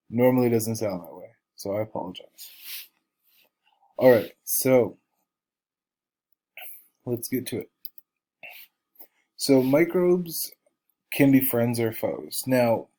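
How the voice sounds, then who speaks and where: Man, stuffy nose, unhurried lecture, halting with fillers, mid-pitched. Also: warm tone. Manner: slow, halting, many ums and uhs. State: stuffy from allergies. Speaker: college biology instructor. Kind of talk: recorded lecture over slides.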